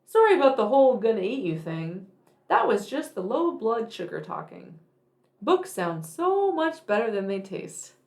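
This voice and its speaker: A grumpy storybook monster, acted out by a woman, always hungry and full of complaint. The delivery is blunt and exclaiming, with big swoops in pitch. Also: sing-song, normal speed, darkish tone. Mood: apologetic. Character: grumpy hungry many-headed monster who hates books.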